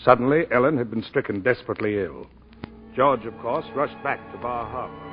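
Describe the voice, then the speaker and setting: An actor playing a sober storyteller, measured and serious, narrating a tragedy in a 1940s radio drama. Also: male voice, medium pitch, lightly acted. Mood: somber. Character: sober man recounting a tragedy to a lawyer. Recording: dull lo-fi 1940s radio, faint music.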